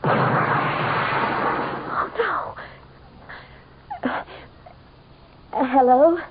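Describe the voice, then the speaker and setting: An actress playing a frightened, haughty society wife in an old-time radio mystery, stammering and melodramatic. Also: female voice, high-pitched, lively intonation, very slow, full character voice. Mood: nervous. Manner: breathy gasps, stammering, melodramatic. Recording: tinny, noisy old radio drama recording.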